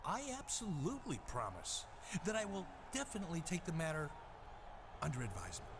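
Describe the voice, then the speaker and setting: Casting: bullying wrestling manager, high and very animated, emphatic, condescending, noisy background. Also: male voice, brisk. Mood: grudging, evasive. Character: smug, bullying male wrestling general manager. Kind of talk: scripted video game cutscene acting.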